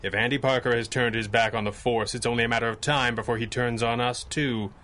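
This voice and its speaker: An actor playing a stern, resolute masked crime-fighter with grave, clipped, formal speech in a 1930s radio adventure style. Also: male voice, medium pitch, fairly even intonation, a little fast, bright, forceful tone, close to an everyday voice. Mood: grave, suspicious.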